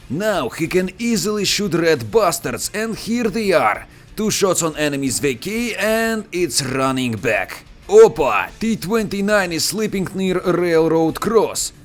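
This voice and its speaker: Lively Russian-accented game commentator. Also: male voice. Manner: playful, joking, expressive with sudden pitch jumps. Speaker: gameplay commentator. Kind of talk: scripted game commentary voice-over.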